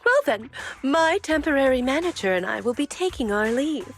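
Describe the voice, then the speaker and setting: A woman's voice: sits high, lively intonation, soft background music. A woman voicing an elegant, composed florist with a formal, courteous manner in a video game. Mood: gracious. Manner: formal, measured. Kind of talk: video game character acting.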